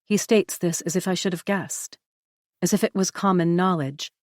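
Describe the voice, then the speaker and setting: Low-voiced woman reading a novel. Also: moderate pace, darkish tone, no echo, studio quality. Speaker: audiobook narrator. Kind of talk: scripted first-person novel narration.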